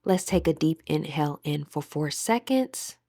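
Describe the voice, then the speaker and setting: A woman guiding a meditation in a soothing, deliberate manner with gentle pauses. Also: deep voice, expressive, no room sound, studio quality. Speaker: meditation guide. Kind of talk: guided meditation narration.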